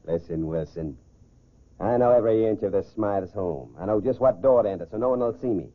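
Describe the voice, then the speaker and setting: An actor playing a confident, calculating gentleman swindler in a 1940s American radio crime drama. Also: on the low side, a little fast, lightly acted. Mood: cool, scheming. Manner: self-assured, calculating, clipped orders. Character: smooth, confident gentleman swindler posing as society golfer. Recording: muffled, phone-like 1940s radio recording.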